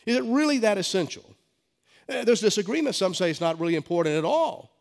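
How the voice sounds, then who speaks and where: A man's voice: high voice, very animated, quick pace, creaky. A middle-aged male pastor with a head cold, preaching a sermon with emphatic stresses and stammered restarts. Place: church sanctuary. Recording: long hall reverb.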